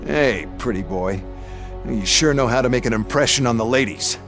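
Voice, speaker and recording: A man's voice: medium pitch, normal speed, over music, close to an everyday voice. Man playing sneering, callous corporate bully. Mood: smug, taunting. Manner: sarcastic, taunting.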